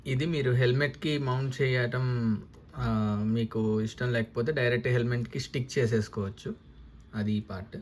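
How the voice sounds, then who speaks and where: Man presenting, clearly noisy recording. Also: medium pitch, steady tone, normal speed, darkish tone. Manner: explanatory, step-by-step, with English terms mixed in. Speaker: motovlogger presenting a product unboxing. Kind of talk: casual unboxing and installation demonstration. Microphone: lavalier.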